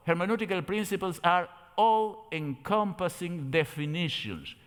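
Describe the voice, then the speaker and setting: An older man with an Argentine Spanish accent lectures on a fairly high pitch, his voice ringing with long hall reverb. He explains things like a teacher, in a conversational way, posing rhetorical questions to his listeners. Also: smooth. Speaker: theology lecturer at a church camp meeting. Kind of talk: lecture with slides.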